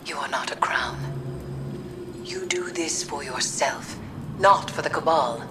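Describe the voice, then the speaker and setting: An actress playing a grave, wise witch who speaks in a solemn, cryptic, measured manner in a video game. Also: low-pitched, very noisy. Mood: firm, consoling. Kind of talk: dramatic video game acting. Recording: dramatic music behind the voice.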